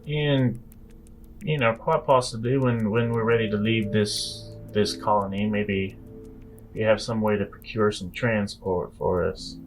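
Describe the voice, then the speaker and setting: Man playing Clive, a lowish-voiced bargaining spacer. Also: steady tone, unhurried, soft background music, poor audio. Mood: cautious, bargaining. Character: bargaining male spacer mercenary named Clive.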